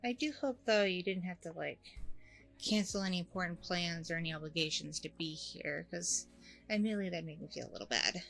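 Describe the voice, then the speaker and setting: A woman's voice: on the low side, animated, a little fast, gravelly, fairly bright tone, soft background music, poor audio. A woman playing a friendly, nervous single mom on a blind date in an ASMR roleplay, rambling casually in a bubbly way. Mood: shy, friendly. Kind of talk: ASMR audio roleplay, conversational.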